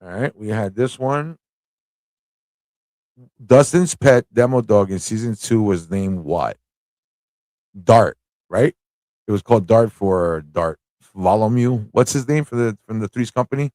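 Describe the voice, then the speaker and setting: A man's voice: on the low side, lively intonation, unhurried, long pauses, mellow, dry recording. A man from the United States hosting a live trivia quiz, chatting casually with viewers and repeating questions as he reads them out. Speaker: livestream host running a trivia quiz.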